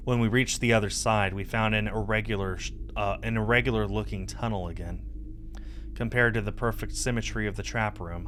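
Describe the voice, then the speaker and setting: A man's voice: fairly low pitch, fairly bright tone, slight background noise. A man in his thirties reading visual novel narration aloud as a streamer, close to the mic. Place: indoor streaming setup. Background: game music.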